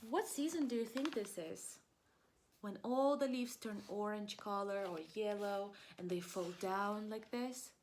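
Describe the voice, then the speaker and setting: A woman reads a children's picture book as a preschool teacher would. She takes it very slowly at a medium pitch, asking simple questions and encouraging her young listeners. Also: slight background noise. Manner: encouraging, asks questions and pauses for answers.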